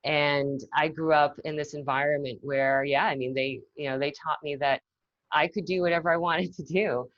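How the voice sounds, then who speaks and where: Woman guest from Massachusetts, low voice, reflective and casual, slightly dull dry line. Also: fairly even intonation, moderate pace, no room sound. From Massachusetts, north of Boston. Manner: thoughtful, peppered with "you know" and restarts. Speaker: guest, marketing executive. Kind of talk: casual conversational interview.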